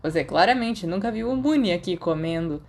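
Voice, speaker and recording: A woman's voice: on the low side, animated, brisk, a little mellow. A woman with a Brazilian accent voicing a cocky, playful teenage wizard schoolboy in an audiobook. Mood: teasing, boastful. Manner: teasing, boastful.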